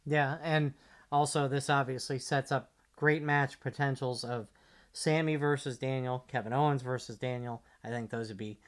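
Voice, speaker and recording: Man, mid-range voice, podcast co-host, casual sports analysis, measured, point-by-point delivery. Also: medium pitch, steady tone.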